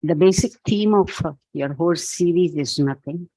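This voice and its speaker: A man with a Pakistani accent giving a reflective keynote talk, speaking in a measured, halting, philosophical way. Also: a little high, dark tone, no room sound, poor audio. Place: event hall.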